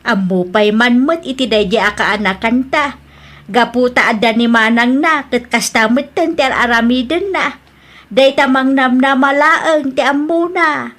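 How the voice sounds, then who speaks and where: A woman's voice: high voice, measured pace, fairly bright tone. A woman acting a nagging, judgmental middle-aged aunt in an Ilocano audio drama. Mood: disapproving.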